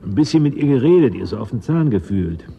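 An actor playing a shrewd, easygoing settler and schemer in a 1980s German radio drama, persuasive and cynical. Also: male voice, medium pitch, very animated, fast talker, gravelly, dark tone, highs cut off, a little hiss or hum.

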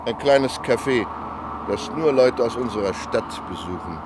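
A man's voice: mid-range voice, lively intonation, close to an everyday voice. Man acting reserved, ominous townsman, outdoor noise. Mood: cryptic, ominous. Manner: formal, measured, clipped phrases. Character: reserved, cryptic male townsman, formal and ominous. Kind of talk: German radio play acting. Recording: outdoor street ambience noise.

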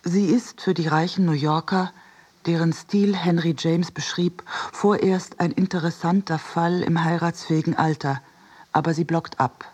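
A reader delivering a scripted literary essay for radio in a measured, deliberate way. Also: mid-range voice, fairly even intonation, darkish tone, husky.

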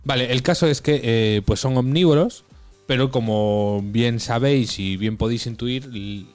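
A man's voice: medium pitch, moderate pace, darkish tone, soft background music. A man from co-hosting a casual comedy podcast, ranting with mock outrage and swearing. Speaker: podcast co-host.

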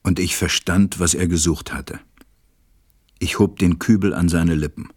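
A man acting a reflective, tender pilot who narrates a radio play in a measured, gentle way. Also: low voice, steady tone, breathy edge. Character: reflective adult male pilot, tender storyteller.

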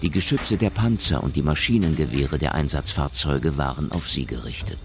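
An actor narrating a science fiction radio drama as a sober storyteller. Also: male voice, rumbling low, moderate pace, vocal fry, over music. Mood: sober, measured.

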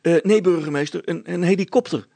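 A man performs an earnest village boy from a children's story, pitched up and a little rough. He speaks politely, with eager urgency. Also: brisk, warm tone, dry recording. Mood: breathless, urgent.

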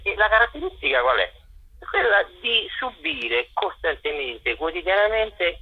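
A man being interviewed, speaking in a discursive, articulate way with hesitant pauses, like a guest explaining his work. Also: medium pitch, husky. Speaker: stage director and actor, interview guest. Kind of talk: interview, promoting a theatre show. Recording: muffled lo-fi phone-style radio line.

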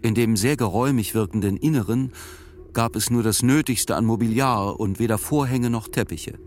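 A male actor as a thoughtful, sober narrator in a literary radio play. His voice sits at a medium pitch, and he sets out his memories with measured precision. A faint hum lies behind the voice. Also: darkish tone, natural voice. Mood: sober, recollecting.

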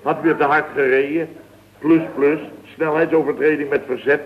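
An actor playing a boastful, easily flustered building contractor boss in a radio comedy, rambling and full of verbal tags. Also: male voice, on the high side, steady tone, normal speed, lightly acted. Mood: boastful, amused. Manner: rambling, peppered with "hè" and "zeg". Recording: tinny, band-limited vintage radio recording.

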